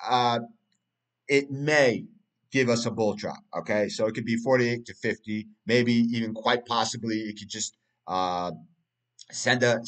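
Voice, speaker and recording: A man's voice: medium pitch, low-quality recording. A man, a Turkish speaker, talking through a price chart in casual analysis, rambling with many fillers and restarts. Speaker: crypto market analyst.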